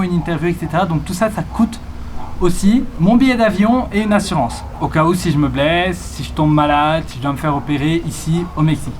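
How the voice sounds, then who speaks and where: A teenage man from Belgium, chatting casually as he answers viewer questions about his exchange year. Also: fairly high pitch. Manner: chatty, reads out questions then answers them. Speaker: exchange student vlogger. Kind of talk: casual vlog Q&A. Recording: roomy echo with faint ambient noise.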